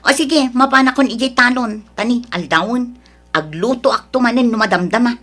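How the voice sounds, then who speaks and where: A woman plays a fed-up wife in an Ilocano family drama. Her voice is fairly high with a husky, breathy edge. She talks like someone worn down and complaining. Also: a little fast, a bit bright. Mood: exasperated. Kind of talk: Ilocano audio drama acting.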